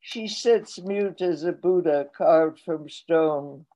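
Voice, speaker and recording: A woman in her eighties reading her own poetry aloud in a slow, measured way over a video call from her home. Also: deep voice, dark tone. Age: 80s. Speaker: poet reading her work. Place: home room, indoors. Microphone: laptop webcam on video call. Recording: dull lo-fi video call audio.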